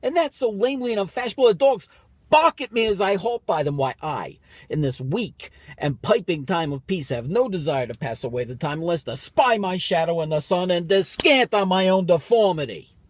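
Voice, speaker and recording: A man's voice: sits high, animated, normal speed, dry recording, voice pushed up. A man performing a Shakespearean soliloquy as a bitter, deformed, scheming villain, declamatory and scornful. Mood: bitter fury.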